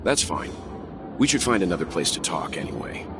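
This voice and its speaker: A calm gentleman guide in a video game. His extremely deep voice is polite and measured as he explains things, with loud music underneath. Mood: calm, reassuring. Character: composed, courteous gentleman, calm older mentor of the group. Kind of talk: scripted video game voice acting.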